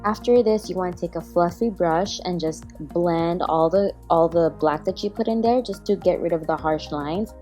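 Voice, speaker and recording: Female beauty vlogger, slightly low voice, casual and self-deprecating tutorial narration. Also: moderate pace, few pauses, warm tone. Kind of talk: casual makeup tutorial voice-over. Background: background music.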